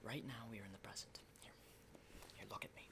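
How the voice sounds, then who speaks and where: A man playing a jittery, hardened zombie apocalypse survivor in a whispered ASMR roleplay, halting and repeating himself insistently in a breathy voice. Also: fairly low pitch. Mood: intense, reassuring. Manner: halting, repetitive, insistent, often checking with "okay?". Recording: clearly noisy close whisper recording.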